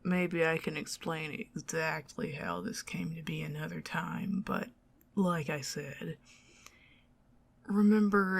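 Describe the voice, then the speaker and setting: A woman with a Southern US accent voicing a possessive, scheming goblin queen in a soft, teasing ASMR whisper. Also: low-pitched, gravelly, slightly rough, full character voice. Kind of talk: ASMR roleplay.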